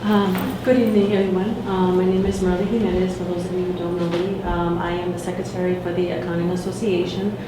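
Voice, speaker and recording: A woman's voice: on the low side, level tone, fast, few pauses. A woman, secretary of a student association, giving a report to a meeting with many fillers and restarts. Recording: echoey room with noticeable noise.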